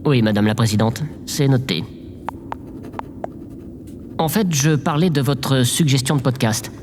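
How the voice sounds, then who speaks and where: A man's voice: mid-range voice, unhurried, noisy background, close to an everyday voice. An actor playing a meek, awkward, eager male aide in a French audio drama, hesitant and deferential. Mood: sheepish.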